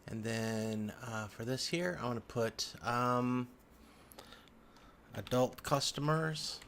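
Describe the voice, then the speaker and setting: Gravelly man thinking aloud through a tutorial. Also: medium pitch, slow pace, fairly bright tone. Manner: thinks aloud, murmuring to himself. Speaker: instructor giving a software tutorial. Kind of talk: casual unscripted screen-recorded walkthrough. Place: indoors at a computer. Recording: background noise, keyboard typing and clicks. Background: keyboard typing and mouse clicking.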